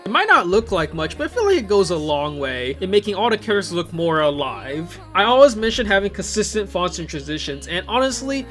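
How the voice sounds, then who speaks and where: A man giving casual, enthusiastic video commentary in an excitable, conversational way, full of exclamations and asides. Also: high-pitched. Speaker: video host commenting on visual novel openings. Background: music playing behind the voice.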